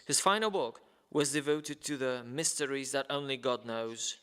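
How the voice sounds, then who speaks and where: A man's voice: medium pitch, normal speed, fairly bright tone, smooth. Hesitant man reading in a reverberant hall. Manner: hesitant, many fillers, stumbles on names. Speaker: reading a guest's letter aloud at a ceremony. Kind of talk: formal speech read aloud. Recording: long reverb of a large hall.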